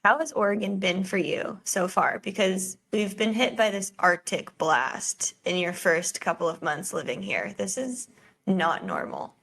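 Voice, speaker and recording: Upbeat woman host with a creaky voice. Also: mid-range voice, expressive, unhurried, a bit bright. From Florida. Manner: upbeat, welcoming, conversational. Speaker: podcast host. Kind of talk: casual podcast chat.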